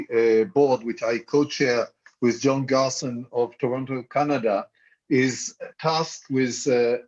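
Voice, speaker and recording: A middle-aged man from Israel, co-chair of an advisory council, giving formal remarks in a measured, deliberate way over an online call. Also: medium pitch, lo-fi sound. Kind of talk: formal remarks and prayer reading.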